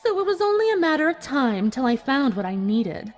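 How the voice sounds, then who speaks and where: A woman voicing a cold, bitter, vengeful unicorn villain in a dramatic fan fiction audio reading, speaking in a theatrical, deliberate way. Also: high-pitched, very animated, over loud music. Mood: menacing, controlled.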